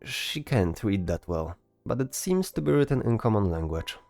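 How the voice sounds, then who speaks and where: Guy narrating a fantasy audio drama, dark mellow voice, bit of music behind. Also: lowish voice, big pitch swings, moderate pace, creaky, no echo. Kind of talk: scripted fantasy story narration.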